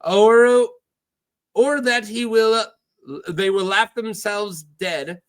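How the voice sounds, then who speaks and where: Fantasy storybook pirate captain, man performing: high-voiced, flamboyant, teasing, theatrical foreign accent. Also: steady tone, smooth. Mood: mocking, scheming. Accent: put-on foreign. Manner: theatrical, lilting, halting delivery.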